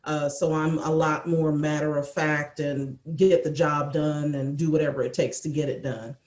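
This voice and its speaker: Measured woman in an echoey room. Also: low-pitched, steady tone, a little mellow. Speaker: cast member and executive assistant. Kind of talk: casual chat. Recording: roomy echo over video call.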